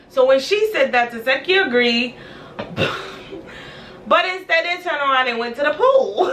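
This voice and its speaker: A woman's voice: high voice, fairly bright tone, slight background noise. A woman casually recapping a reality show, chatty and blunt with slang and frequent laughs. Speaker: recap vlogger.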